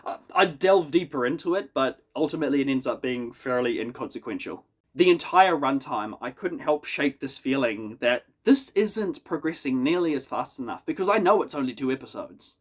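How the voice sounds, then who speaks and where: A man's voice: mid-range voice, animated, moderate pace. A man with a New Zealand accent and a head cold, giving a chatty, wry spoken review in a casual, self-deprecating way from his small apartment. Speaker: YouTube reviewer.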